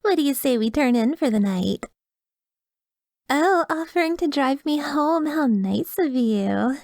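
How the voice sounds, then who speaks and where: A woman's voice: high voice, animated, unhurried, darkish tone, no echo, studio quality, voice pushed up. A woman acting a gushing, flirtatious date in an ASMR roleplay, with sweet, exaggerated delight.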